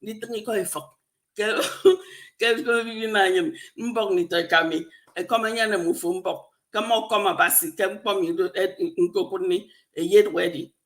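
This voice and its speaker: A woman's voice: medium pitch, fairly even intonation, moderate pace, a bit bright. A Nigerian woman, a native Efik speaker, co-hosting a casual online discussion with a Nigerian accent. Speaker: co-host of a discussion show. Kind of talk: casual online panel chat.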